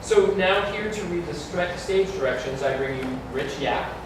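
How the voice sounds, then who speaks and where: A man's voice: a little high, lively intonation, moderate pace. A man hosting a live event, giving a stage introduction to a reading. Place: community hall stage before an audience. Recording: very echoey hall, distant, noisy.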